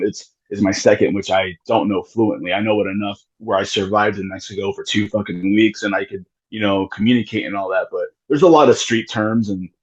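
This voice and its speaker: Low husky man, lo-fi sound. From United States. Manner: rambling, frequent restarts and swearing. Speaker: musician guest. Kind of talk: casual podcast conversation.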